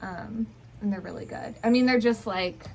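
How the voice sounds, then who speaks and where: A young woman talks casually about books with some noise around her. Her pitch is average and her delivery is informal and conversational, with plenty of hedging. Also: normal speed, darkish tone. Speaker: book vlogger. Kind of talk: casual book chat. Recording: clear background noise.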